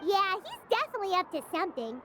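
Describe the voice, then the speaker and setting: A woman voicing a small, excitable, childlike fairy companion in a video game, squeaky and bubbly. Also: quick pace, heavy background noise, heavily acted. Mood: earnest, dramatic. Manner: bubbly, refers to herself by name. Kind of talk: English video game character acting.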